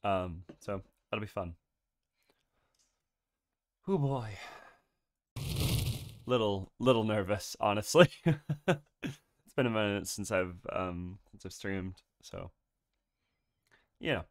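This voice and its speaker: Low-voiced sniffly male streamer. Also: lively intonation. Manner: halting, full of ums, nervous laughs. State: has a cold, sniffly. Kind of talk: casual solo game stream chat. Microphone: desk microphone.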